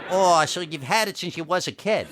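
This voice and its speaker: A man voicing a wisecracking, irreverent household helper in 1940s-style radio comedy, with folksy grammar and deadpan jibes. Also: sits high, moderate pace, a loud crowd, very noisy.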